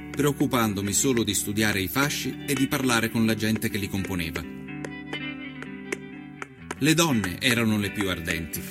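A man performing an earnest nineteenth-century Italian journalist who recounts his travels in a measured, solemn, literary radio monologue. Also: medium pitch, close to an everyday voice. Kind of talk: scripted dramatic narration, radio storytelling.

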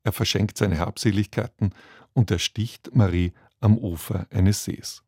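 A man's voice: on the low side, steady tone, unhurried, darkish tone, no room sound, clean studio sound. A man reading a formal, scripted introduction as a radio presenter. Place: dry broadcast studio.

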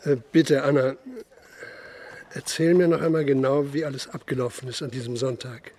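An actor playing a methodical, insistent police investigator in a German radio crime drama. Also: male voice, medium pitch, steady tone, moderate pace, a little mellow, natural voice. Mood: probing. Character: persistent, probing male police investigator narrating his inquiry. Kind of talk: German radio drama acting, interrogation and narration.

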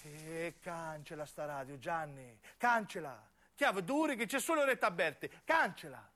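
A man's voice: on the high side, expressive, normal speed, pushed and bright. A man playing an irritable, joking young traveller with a southern Italian accent and a brash, slangy manner. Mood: irritated.

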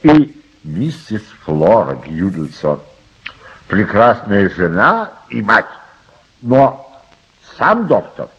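An absent-minded, obsessive old uncle and amateur astronomer in a radio play. His low voice swings very widely in pitch as he halts and repeats himself, preoccupied. The archive recording is dull, with faint noise. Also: very animated, fairly bright tone, husky, heavily acted. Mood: brooding. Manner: preoccupied, halting, repeats words. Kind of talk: radio drama acting.

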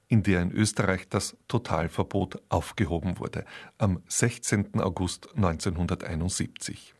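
A man's voice: on the low side, darkish tone. A man, presenting a scripted introduction as a radio host.

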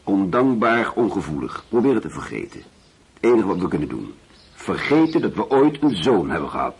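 A man's voice: sits low, sing-song, fairly quick, creaky, slightly rough, exaggerated voice. An actor playing a curt, embittered middle-aged husband and father in a radio drama. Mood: bitter.

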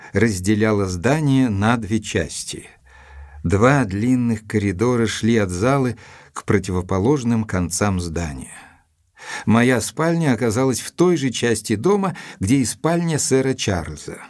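A man's voice: on the low side, animated, measured pace, dry recording, clean studio sound. A man narrating an audio drama in the first person, reading scripted literary prose. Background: faint music.

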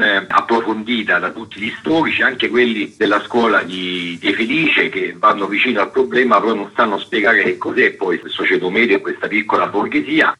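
A man's voice: lowish voice, sharp tone, breathy edge, low-quality recording. A middle-aged man, explaining things as an academic guest in a didactic, digressive way. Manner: didactic, digressive, with rhetorical tags. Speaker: historian and author, interview guest. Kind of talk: academic explanation in a radio interview.

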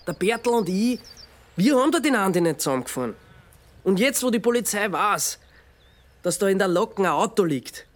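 A level-headed man in a radio play, laying out facts and pleading with quiet urgency. His voice sits high and moves in lively rises and falls. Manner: reasons and pleads, lays out facts steadily. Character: calm man confronting an avenger, pleading. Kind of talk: radio drama acting.

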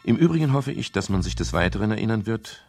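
An actor playing a wry, hard-boiled private detective, narrating his case in a dry, deliberate, ironic way for a radio crime drama. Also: on the low side, lively intonation, creaky, a little mellow, soft background music. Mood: wry, measured. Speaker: first-person narrator.

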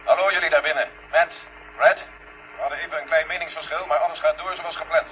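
An actor playing a brisk, reassuring general calling astronauts in a 1970 radio drama. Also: male voice, on the high side, fairly even intonation, close to an everyday voice. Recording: muffled radio link with faint hum.

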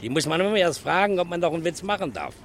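An actor playing a gruff, indifferent man in a German radio play, sneering and dismissive. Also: fairly high pitch, very animated, rapid-fire. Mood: scornful. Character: gruff, scoffing, indifferent male bystander. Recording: faintly noisy radio play recording.

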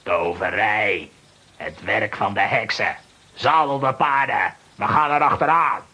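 An actor with a raspy voice playing a ruthless, power-hungry villain who commands soldiers in a children's radio play. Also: male voice, on the low side, a little slow, heavily acted. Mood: commanding, angry. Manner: forceful, menacing, commanding.

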